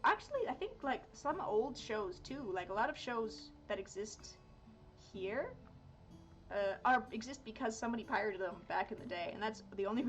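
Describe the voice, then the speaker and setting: A woman in her thirties from Miami, chatting casually while drawing on a livestream, rambling with lots of fillers and self-corrections. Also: high-pitched. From Miami, United States. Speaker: art streamer.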